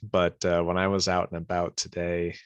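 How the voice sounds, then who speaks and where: A man's voice: deep voice, completely flat delivery, normal speed, clean tone, no room sound. A man co-hosting a podcast, joking easily in casual chat while just over a cold. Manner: joking, easygoing, often laughing. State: recovering from a cold. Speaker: podcast co-host.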